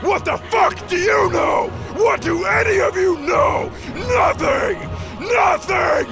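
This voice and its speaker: A man's voice: very high voice, slow pace, gravelly, raised voice, music in the background, heavily acted. An actor playing a savage, hateful giant male wolf beast in an audio drama. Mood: roaring rage.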